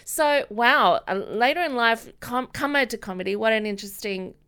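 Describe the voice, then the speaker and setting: A woman hosting an interview podcast, enthusiastic and quick to exclaim. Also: on the high side, animated, a bit bright, smooth. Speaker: podcast host.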